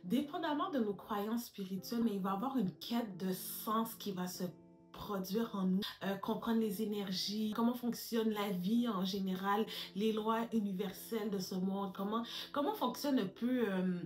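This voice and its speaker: Woman in her 30s, advice vlog to camera, mid-pitched voice, soft music underneath. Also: moderate pace. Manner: addresses viewers warmly as girls, sprinkles English words. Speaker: lifestyle YouTuber.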